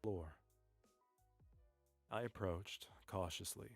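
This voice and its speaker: A man reading a scripted story aloud in measured takes, repeating lines one after another. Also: sits low, creaky, husky, faint music behind.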